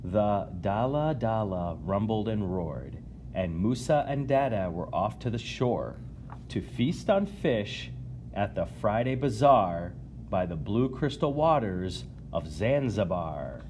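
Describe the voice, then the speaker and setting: A man's voice: on the low side, sing-song, takes it slowly, a little mellow, slight background noise. A man reading a picture book aloud to young listeners, with casual asides. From United States, Illinois.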